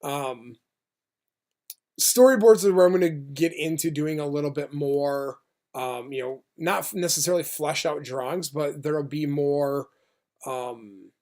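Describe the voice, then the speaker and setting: Male, American, slightly high voice. Informal, hesitant animator updating followers on production, vlog style. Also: unhurried, darkish tone.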